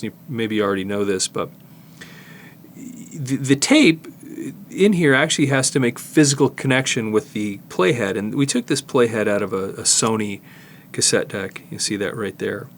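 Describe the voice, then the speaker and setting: An older man giving a casual hands-on demonstration, explaining haltingly and thinking aloud as he works. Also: lowish voice, a little hiss or hum. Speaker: host, tape digitizing technician. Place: studio, indoors.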